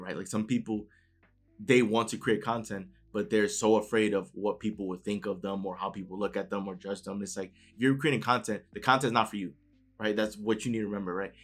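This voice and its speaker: A man's voice: fairly low pitch, fairly bright tone. A man from New York City with a New York accent, giving a conversational motivational talk and often checking in with "right?". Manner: conversational, keeps adding "right?" tag questions. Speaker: motivational speaker.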